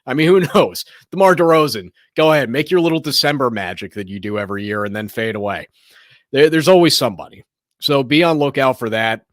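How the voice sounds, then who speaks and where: A man hosting a sports show, giving casual, opinionated commentary in a slightly hoarse, raspy voice, rambling and often restarting his sentences. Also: mid-range voice, lively intonation, fairly quick, no room sound, clean studio sound.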